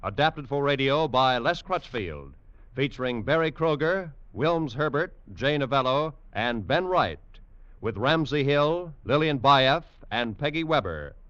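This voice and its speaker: A man's voice: medium pitch, animated, unhurried, a bit bright, dry recording. A man with an American accent reading scripted credits as a 1940s radio announcer. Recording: muffled, dry 1940s radio with music.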